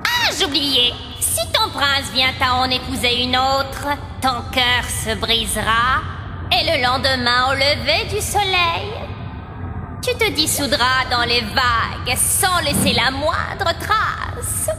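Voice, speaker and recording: A greedy, mocking sea witch speaks high, inside a long cavernous reverb. She is theatrical and menacing, taunting in a cartoon fairy-tale manner, with music prominent underneath. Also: expressive, takes it slowly. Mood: ominous, mocking. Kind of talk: dubbed animated fairy-tale acting.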